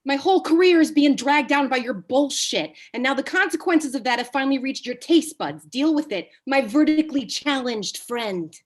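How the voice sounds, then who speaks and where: Cocky crude male agent type done by a woman, highish voice, blunt and taunting, sitcom read. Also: lively intonation, moderate pace, hardly any pauses. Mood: needling, blunt. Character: brash, crude, foul-mouthed male Hollywood talent agent. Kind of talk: comedy table read.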